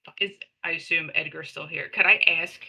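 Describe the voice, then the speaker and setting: A woman's voice: deep voice, fairly even intonation, brisk, vocal fry, pushed and bright, slightly rough. A woman playing a tabletop game, switching between casual table chat and roleplay in a blunt, exasperated, sweary way.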